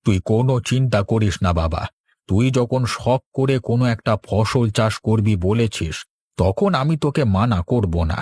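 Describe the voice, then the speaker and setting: A man voicing a gentle, devoted older village father in a cartoon, tender and reassuring. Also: fairly low pitch, lively intonation, unhurried, husky, no room sound. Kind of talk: Bengali cartoon dialogue.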